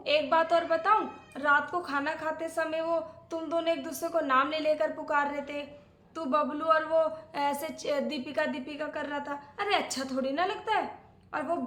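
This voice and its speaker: Nagging auntie played by a woman, older and old-fashioned, high voice, moralizing comedy skit, echoey room. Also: a bit bright. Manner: dramatic, moralizing, chatty. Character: scolding, old-fashioned older aunt, nagging and self-righteous. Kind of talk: domestic comedy skit acting.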